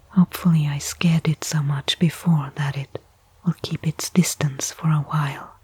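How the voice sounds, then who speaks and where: A stranded woman explorer, voiced by an ASMR actress, murmurs to herself in a very breathy, low voice. She is slow and halting, with a soft, mellow tone. Her temper is wry, stubborn and resigned, as in an intimate sci-fi audio roleplay. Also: dry recording, full character voice. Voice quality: breathy, whispery.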